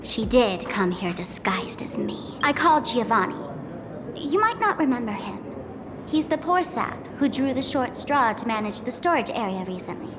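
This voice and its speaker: A woman's voice: on the high side, big pitch swings, normal speed, in a large hall, noisy background. A woman voicing a playful young trickster hostess in a video game, with a theatrical, teasing manner. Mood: playful, confiding. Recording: background music behind the voice.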